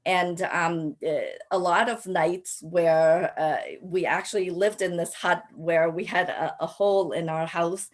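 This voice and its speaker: A woman in her forties from Cambodia, telling her personal story as a panelist in a halting way, often pausing and repeating phrases. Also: lowish voice, fairly even intonation. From Cambodia, raised in a Thai refugee camp and the US. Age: 40s. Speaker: panelist, local elected official.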